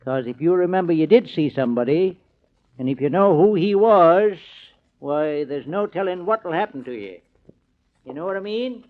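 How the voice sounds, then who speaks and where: A man's voice: a little high, animated, normal speed, lightly acted. A man acting the part of a folksy, drawling rural bus driver in a 1940s radio drama. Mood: sly, menacing. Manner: drawling rustic speech, folksy. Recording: noisy, tinny 1940s radio recording.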